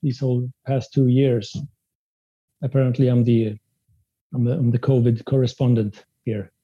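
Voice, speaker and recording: A man's voice: mid-range voice, little pitch movement, moderate pace, slightly rough, highs cut off. A man whose first language is Spanish, raised in Sweden, answering interview questions in a hesitant way with many restarts and fillers. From Spanish-speaking country, raised in Sweden. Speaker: guest. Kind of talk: casual interview.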